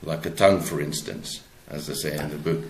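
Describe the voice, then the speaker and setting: Deep-voiced South African man, roomy sound. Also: fairly even intonation, background noise. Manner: halting, searching for words. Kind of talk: conversational interview, explaining own book.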